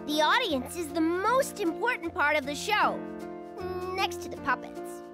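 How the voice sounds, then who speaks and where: Super high little girl cartoon bunny, eager, earnest, music behind. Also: expressive, measured pace. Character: eager, helpful young girl bunny, best friend. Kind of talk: children's cartoon acting.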